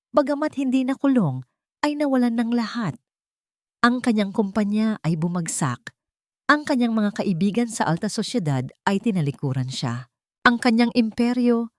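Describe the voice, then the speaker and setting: A woman's voice: lowish voice, a little mellow, no echo, clean studio sound. A woman narrator reading a scripted dramatic story.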